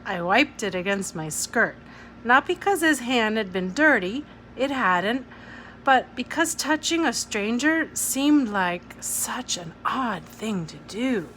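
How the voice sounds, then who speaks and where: A woman's voice: a little high, animated, takes it slowly, fairly bright tone. A woman narrating a storybook aloud in the first person. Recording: noisy home recording.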